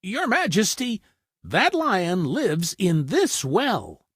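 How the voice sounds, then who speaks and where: A man's voice: sits high, wildly swooping pitch, takes it slowly, fairly bright tone, husky, no echo, clean studio sound, pitched above the performer's own voice, exaggerated voice. A man voicing a small, timid but clever rabbit who speaks in a deferential, pleading manner in a fairy tale.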